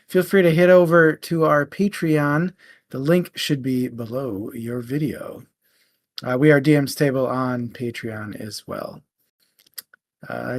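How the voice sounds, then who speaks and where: A man with a slightly high voice hosts a live discussion show about books. He sounds informal and chatty as he explains things to the listeners. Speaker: co-host of a book discussion show. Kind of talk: casual live show hosting.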